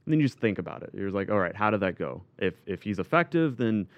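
Very deep-voiced man, slightly dull audio. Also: expressive, fast, no room sound. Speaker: sports panelist. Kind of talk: casual sports chat.

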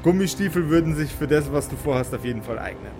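A man, narrating a tabletop role-playing game as game master, often halting and repeating words as he improvises. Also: a little high, animated, darkish tone, faint music behind.

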